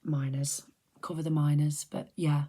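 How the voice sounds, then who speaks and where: A middle-aged woman, chatting softly and rambling on a close mic for an ASMR video, often slipping into whispers. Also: deep voice, little pitch movement, a little slow, slightly rough. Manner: soft-spoken, drifting into whispers, chatty and rambling. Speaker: ASMR creator. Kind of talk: soft-spoken ASMR chat.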